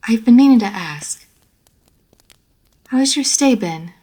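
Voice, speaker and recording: A woman playing an immortal vampire lady of a manor in an audio roleplay, formal and measured, poised and teasing, speaking straight to the listener. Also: fairly high pitch, animated, mellow, husky. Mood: amused, exasperated. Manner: formal, measured, old-fashioned genteel phrasing. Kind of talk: scripted roleplay audio story, addressed to the listener.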